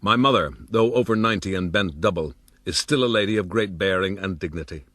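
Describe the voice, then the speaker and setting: An actor playing a proud, roguish gentleman who narrates his own story in a florid, boastful, rueful way for a period radio drama. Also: sits low, a little fast, no echo.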